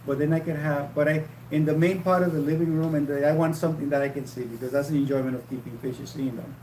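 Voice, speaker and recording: A man hosting a casual livestream chat, talking in a halting, rambling way with many restarts. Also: a little high, steady tone, mellow, far from the mic. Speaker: livestream host. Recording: echoey room with faint hum.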